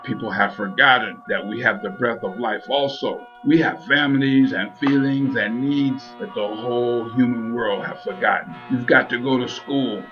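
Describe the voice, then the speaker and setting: A man voicing a solemn spirit dolphin messenger for a story, speaking slowly in a low voice. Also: dull audio, faint music behind, lightly acted. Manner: slow, solemn.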